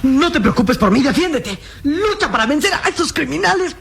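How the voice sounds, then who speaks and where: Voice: high-pitched, sing-song, normal speed, creaky, a bit bright, full character voice. A performer playing a brave, selfless young boy in a Latin American radio serial, pleading with urgency. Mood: urgent, defiant.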